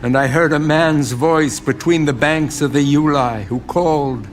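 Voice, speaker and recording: A man narrating a dramatised scripture audiobook in a solemn, measured, earnest style. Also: fairly high pitch. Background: music.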